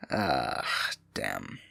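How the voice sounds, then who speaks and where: Scheming fantasy royal advisor, male actor: gravelly, highly animated, intimate and patronizing, with soft music underneath. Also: no room sound, heavily acted. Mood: sly, playful. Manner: silky, condescending, mock-sympathetic, often dropping to a murmur. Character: smooth-talking scheming male royal advisor, falsely sympathetic. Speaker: voice actor in an audio roleplay. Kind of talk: fantasy ASMR roleplay acting, intimate and theatrical.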